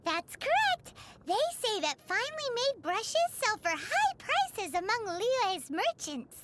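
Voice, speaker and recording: A woman voicing a tiny, cheeky, childlike fairy companion in a video game, with a squeaky, emphatic delivery. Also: sing-song, slow, fairly bright tone, slight background noise, full character voice. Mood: eager. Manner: refers to self in third person, emphatic. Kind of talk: video game character dialogue. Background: music.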